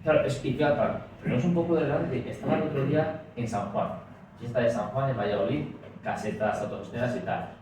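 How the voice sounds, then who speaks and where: A man speaking at a political meeting, pushing his points rapidly and looping back with restatements, recorded in a meeting room. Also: medium pitch. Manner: rapid, talks in restarts with frequent "es decir". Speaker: speaker at a party talk. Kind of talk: public talk and Q&A. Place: meeting room at a party office. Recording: roomy echo, distant mic, faint hiss.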